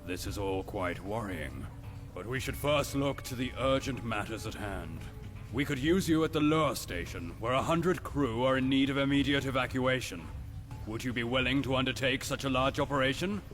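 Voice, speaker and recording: Man playing a measured, mid-pitched station official. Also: a bit bright, faint music behind, natural voice. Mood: concerned. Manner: measured, formal. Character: courteous, concerned male station official giving missions.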